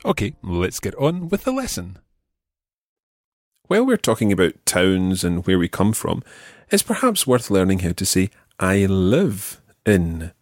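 A man hosting a podcast language lesson, explaining patiently and clearly. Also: low voice, wildly swooping pitch, a little slow, dry recording, clean studio sound, faint music behind. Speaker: language teacher and podcast host.

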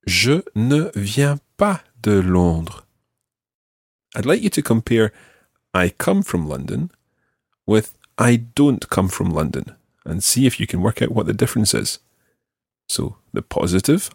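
A man hosting a podcast language lesson, explaining patiently and clearly. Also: on the low side, dry recording, studio quality. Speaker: language teacher and podcast host.

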